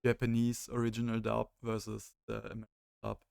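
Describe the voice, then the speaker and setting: Guy chatting about games, gravelly but smooth, kinda rambly, dry close mic that cuts out. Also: lowish voice, completely flat delivery, a little fast, a little mellow, no echo. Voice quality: rambling, hesitant, many restarts. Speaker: host. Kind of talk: casual chat about games.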